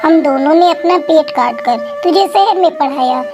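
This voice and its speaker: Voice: sits high, normal speed, music in the background, voice pushed down. A woman voicing a bitter mother crow in a children's cartoon, harsh and unforgiving. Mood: angry. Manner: harsh, disowning.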